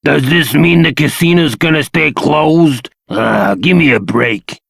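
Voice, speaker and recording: A man voicing an excitable, grumbling working-class pub regular in a video game, blunt and colloquial, with a British accent. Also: a little high, measured pace, gravelly, slightly rough, dry recording, heavily acted. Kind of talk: video game character acting.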